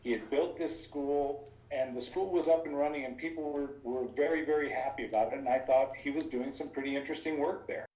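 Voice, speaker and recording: A middle-aged man giving halting interview answers over an internet video call from a small office. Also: medium pitch, warm tone, echoey room, far from the mic, rough recording. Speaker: writer and interviewee. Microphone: computer microphone on video call.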